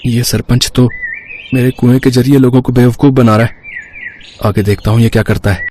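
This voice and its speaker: A man's voice: medium pitch, fairly even intonation, moderate pace, a little mellow, slight background noise, lightly acted. A man voicing a poor, earnest young village son in a moral story cartoon, pleading yet determined. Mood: suspicious, determined.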